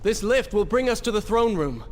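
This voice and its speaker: An actor playing a dry-witted young prince in a video game cutscene, speaking in a wry, clipped way. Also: male voice, high voice, animated, moderate pace. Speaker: game protagonist.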